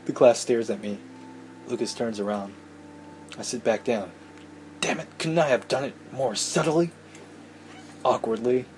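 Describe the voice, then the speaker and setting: A man with a soft-edged, mellow voice reads a visual novel aloud for a let's play. He slips between narration and casual, irreverent asides. Some background noise sits under his mid-pitched voice. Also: unhurried, long pauses, poor audio. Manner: irreverent, sweary.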